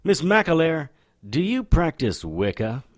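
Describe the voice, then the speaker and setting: A man's voice: a little high, big pitch swings, measured pace. A man playing a cocky, wisecracking occult private investigator in an audio drama, wry and irreverent in noir style. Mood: cocky probing. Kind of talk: audio drama dialogue and first-person narration.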